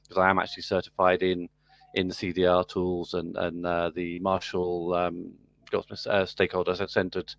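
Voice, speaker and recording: A middle-aged British man from the UK, explaining his work in a conversational interview with measured pacing and occasional fillers. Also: low voice, vocal fry, fairly bright tone, no room sound. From United Kingdom. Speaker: business school program director. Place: video call.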